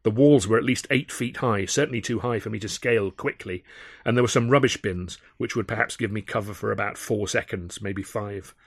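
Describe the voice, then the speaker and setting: A man reading a scripted first-person comic adventure, wry and expressive as a storyteller. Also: lowish voice, fairly quick, dry recording, studio quality. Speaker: author narrating own audiobook.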